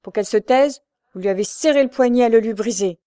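A woman's voice: a little high, fast talker, warm tone, dry recording, pitched above the performer's own voice, close to an everyday voice. An anxious young knife-grinder from a storybook, acted by a woman reader. He speaks in simple working-class words, earnest and pleading as he protects another, with a dry close sound. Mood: indignant.

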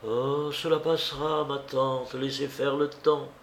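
A meek, sorrowful young woman, as a male reader puts her on, speaking slowly with some gravel in the voice. She is gentle, subdued and pleading, a storybook niece who falters as she speaks. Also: mid-range voice, lively intonation, a little hiss or hum, full character voice. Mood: resigned. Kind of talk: dramatised audiobook reading.

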